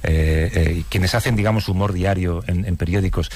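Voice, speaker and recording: A man, hosting a radio interview in a relaxed way with frequent fillers. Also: low-pitched, normal speed, dry recording. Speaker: radio host.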